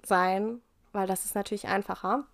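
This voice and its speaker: A young woman from Germany, a guest in a casual interview, talking thoughtfully and candidly with soft laughs. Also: medium pitch, fairly even intonation, normal speed. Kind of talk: casual conversation.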